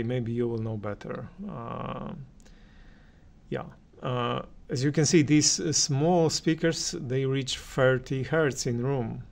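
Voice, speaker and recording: A man with a gravelly, creaky voice talks through his opinions on audio gear. He speaks slowly and haltingly, pausing often as he works out each point. His pitch sits in a middle range for a man. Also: darkish tone. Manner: hesitant, halting delivery with many pauses. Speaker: audio reviewer and channel host. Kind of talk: unscripted opinion commentary on hi-fi gear.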